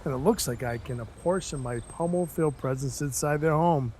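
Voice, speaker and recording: An actor playing a sardonic dwarf who works as Santa's enforcer, telling his story in a wry, mock-polite deadpan for an audio drama. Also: a little high, brisk, slight background noise, natural voice. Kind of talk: first-person audio drama narration with dialogue. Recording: music behind the voice. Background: music.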